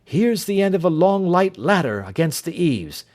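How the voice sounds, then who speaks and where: A man voicing a sharp-minded male detective who speaks crisply and decisively. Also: high-pitched, sing-song, normal speed, voice pushed up. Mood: urgent.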